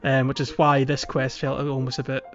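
A man's voice: medium pitch, completely flat delivery, moderate pace. A man co-hosting a podcast, talking casually through a video game playthrough. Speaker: podcast co-host. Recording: slightly dull sound, background music.

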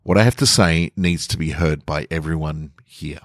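Male reader as fed-up male employee, low voice, dry sound, deliberate, earnest, defiant, short-story monologue. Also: no room sound. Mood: tense, wounded. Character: aggrieved, uncomfortable male office employee making a defiant stand.

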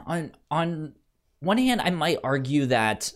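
A man co-hosting a casual film discussion, speaking haltingly and repeating words as he searches for his phrasing. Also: fairly high pitch, big pitch swings. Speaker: podcast co-host discussing a film.

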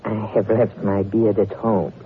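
A man's voice: fairly low pitch, little pitch movement, a little slow, natural voice. A man playing a sly, courtly little blackmailer from the Levant in 1940s radio drama, speaking with oily, mannered politeness. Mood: smugly sly. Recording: old muffled radio recording, faint hiss.

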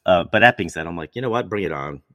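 A man's voice: low-pitched, lively intonation, fast, fairly bright tone, no room sound, clean studio sound. A male actor telling long, rambling stories in casual chat, often restarting and quoting himself. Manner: rambling, many restarts and quoted asides.

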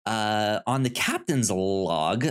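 A man's voice: lowish voice, lively intonation, takes it slowly, clear, resonant tone. A man hosting a podcast in a casual, chatty style, with a tendency to stammer and repeat syllables. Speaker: podcast host. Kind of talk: casual podcast chat about movies and show news.

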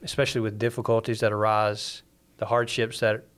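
Earnest fast-talking Southern man. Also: on the low side, level tone, a bit bright, smooth. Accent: Southern American. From Southern United States. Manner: earnest, quick-talking, frequent restarts. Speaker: missionary pastor. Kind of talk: church interview conversation.

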